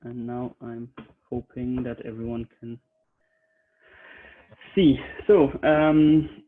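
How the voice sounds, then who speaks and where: A man's voice: medium pitch, steady tone, slow pace, a little hiss or hum. A man from Switzerland, a recruiter, giving an online conference presentation from his home office, hesitant with many fillers and restarts. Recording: tinny phone-like audio.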